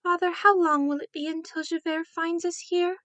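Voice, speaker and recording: A tiny curious little girl, super high voice, close dry sound, radio play. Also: fairly even intonation, normal speed, fairly bright tone, no echo, dull audio. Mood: timid, curious. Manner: innocent, questioning. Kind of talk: radio drama acting.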